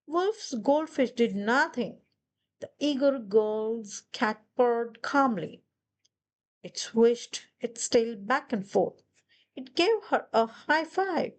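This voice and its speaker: Slow-reading woman, children's storyteller. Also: on the high side, lively intonation. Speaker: storybook reader. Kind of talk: children's read-aloud narration.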